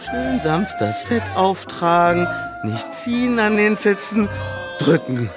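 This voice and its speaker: A man's voice: high-pitched, very animated, slow pace, music in the background, exaggerated voice. A young man acting in a German radio drama as a cocky, crude, drunken teenage farmhand who talks with a mocking, loose tongue. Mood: crude, boastful. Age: young adult.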